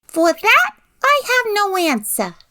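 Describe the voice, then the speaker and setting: A woman's voice: extremely high pitch, wildly swooping pitch, slow, pushed and bright, full character voice. A woman playing a naive, chirpy young secretary in an old-time radio comedy. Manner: chirpy, guileless. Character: perky, naive young female office secretary, slightly ditzy.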